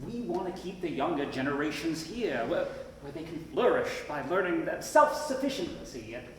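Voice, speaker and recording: An earnest, polite townsman farmer of the 1920s, acted by a man speaks up in a folksy stage-play style. His voice is high and animated, ringing in a very echoey hall. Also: normal speed. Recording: distant echoey hall recording, background noise.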